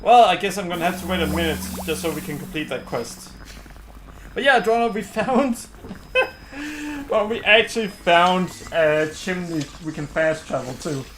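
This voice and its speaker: Halting male game streamer, slightly high voice. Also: fairly bright tone, a little hiss or hum, rough recording. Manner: halting, thinking aloud. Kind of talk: casual game commentary. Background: game music.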